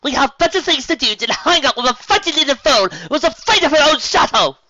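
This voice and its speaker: A reader putting on a shrill cruel fashionista mare. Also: very animated, fairly quick, lo-fi sound, exaggerated voice. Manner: yelling, growling insults.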